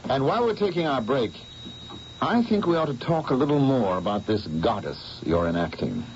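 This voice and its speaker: An earnest painter narrating. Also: male voice, medium pitch, very animated, moderate pace, a little mellow. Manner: earnest, persuasive, reflective narration. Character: George, male painter narrating his own tale. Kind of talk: 1970s radio drama acting. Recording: dull old radio broadcast, faint hiss.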